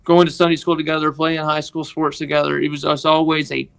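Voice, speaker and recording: A man with a Mississippi accent, telling a personal story on a podcast in a halting, earnest way. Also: a little high, completely flat delivery, creaky, fairly bright tone, smooth. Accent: Southern US, Mississippi. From northeast Mississippi, United States. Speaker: podcast guest, organization member telling his story.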